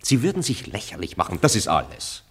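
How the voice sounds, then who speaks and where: An actor in a classic German radio play, playing a worldly, cynical friend with a deep voice and a sardonic, reasoning manner. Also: male voice, huge pitch swings, a little fast, breathy edge, exaggerated voice. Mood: reasoning, exasperated. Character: worldly, cynical male friend Max, persuasive.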